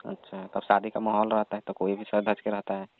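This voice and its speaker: Actor as teasing, easygoing young male lover, deep creaky voice, prodding questions, tinny telephone sound. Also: monotone, brisk, lightly acted. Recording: narrow tinny phone line, faint noise.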